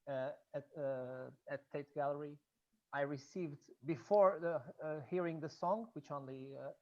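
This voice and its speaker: A native Portuguese speaker with a Portuguese accent, talking informally and haltingly in an online discussion, often repeating words. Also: mid-range voice, fairly even intonation, highs cut off. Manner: hesitant, halting with repetitions. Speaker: audience member, student researching Blake and music.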